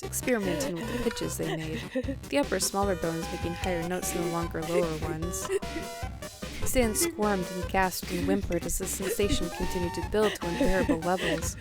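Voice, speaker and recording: A woman reading scripted story narration for an audio drama. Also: on the low side. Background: music.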